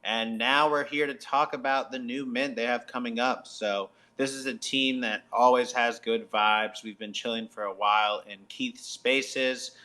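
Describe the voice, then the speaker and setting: Male host, mid-range and bright, for upbeat promotional interview on an online radio show. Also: mid-range voice.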